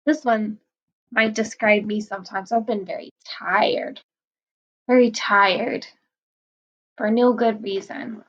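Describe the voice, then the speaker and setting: A woman teacher reading a picture book aloud to children, gently explaining words and asking them questions. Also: medium pitch, fairly even intonation, slow, pauses often, dull audio.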